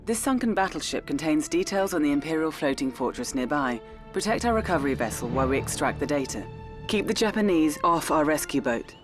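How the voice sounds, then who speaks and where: A terse mission announcer. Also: female voice, low voice, fairly quick, over music. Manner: flat clipped briefing delivery.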